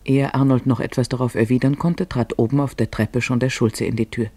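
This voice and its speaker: Male narrator, medium pitch, slightly rough breathy tone, scripted storytelling, dry studio sound. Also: steady tone, fairly quick, warm tone, no echo. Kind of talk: scripted literary narration for a radio play.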